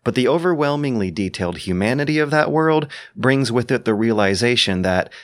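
A man's voice: medium pitch, expressive, fairly quick. A man reading scripted narration as narrator and commentator.

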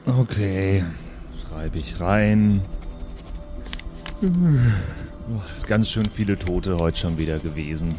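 A man's voice: deep voice, lively intonation, takes it slowly, long pauses, music in the background. A man playing a curt, pedantic old bureaucrat of heaven with weary, clipped official phrasing in an improvised audio play. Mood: weary, bureaucratic.

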